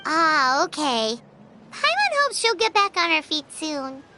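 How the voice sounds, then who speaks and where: A tiny female fairy sidekick from a video game. The voice is squeaky and childlike, pitched extremely high with very animated swings. She comes across as earnest and excitable, over prominent music. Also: unhurried. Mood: hopeful sympathy. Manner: speaks of herself in third person. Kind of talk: cartoonish video game voice acting.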